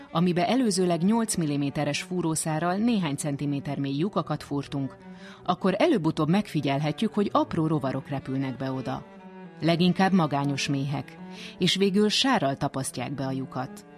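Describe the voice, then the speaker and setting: Radio reporter, scripted documentary narration, mid-range pitch, faint music behind. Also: expressive.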